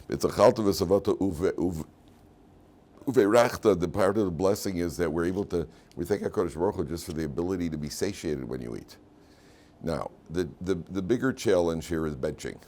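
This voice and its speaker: An American man with a hoarse voice giving an informal Torah lesson as a rabbi, haltingly, often repeating words and restarting. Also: deep voice, a little mellow. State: hoarse from medication.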